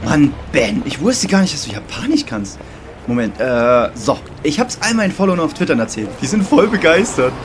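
An excitable young anime fan played by a man who argues and stammers. His delivery is animated, with clear noise in the background. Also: on the high side, moderate pace. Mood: argumentative. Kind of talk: amateur audio drama acting.

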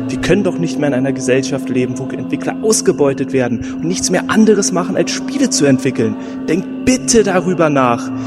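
A man's voice: a little high, natural voice. A man playing an earnest, preachy hero in an amateur Christmas comedy, pleading melodramatically. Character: earnest, preachy young hero rallying his friends.

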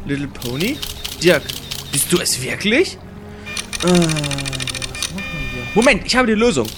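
A man playing a boastful, excitable young monster trainer in an amateur audio drama. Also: a little high, measured pace, over music. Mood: puzzled.

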